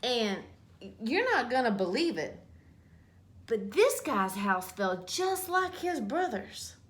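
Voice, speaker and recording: A woman's voice: fairly high pitch, big pitch swings, slow pace. A woman voicing a self-justifying, folksy male wolf in a children's storybook read-aloud, with dramatic mock-innocent delivery. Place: small indoor room at home.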